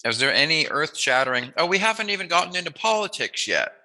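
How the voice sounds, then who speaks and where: A man's voice: on the high side, very animated, fast, pushed and bright. A man hosting a casual chat interview, talking in a playful, jokey, musing way. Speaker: interviewer, host.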